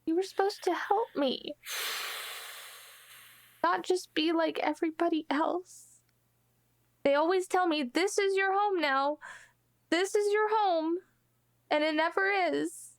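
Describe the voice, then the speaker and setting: A woman voicing a stubborn, homesick little girl in a tabletop game, pleading and halting. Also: very high-pitched, full character voice. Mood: hurt, pleading.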